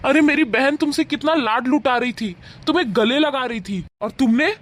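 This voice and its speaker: A man voicing a devoted adult son, earnest and protective of his family, in an animated moral story. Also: very high voice, normal speed. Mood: indignant.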